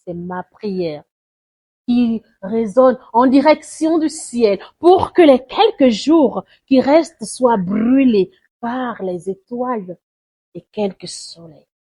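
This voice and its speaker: A woman recites a poem dramatically, in a solemn, mournful and deliberate way, swelling and hushing her phrases. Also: a little high, animated, slightly rough. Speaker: poetry reciter. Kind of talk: dramatic spoken-word poetry recitation.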